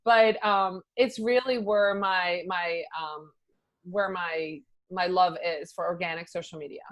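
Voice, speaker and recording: A woman's voice: lowish voice, steady tone, a little slow, a bit bright. A middle-aged woman from the Netherlands, a social media consultant, answering interview questions in a chatty way with many fillers.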